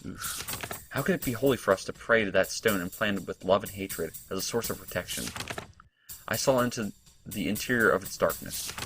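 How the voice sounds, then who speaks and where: Low-voiced man reading a game aloud. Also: fairly bright tone, poor audio. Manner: halting, thinks aloud with restarts. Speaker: let's play host. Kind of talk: reading game text and reacting.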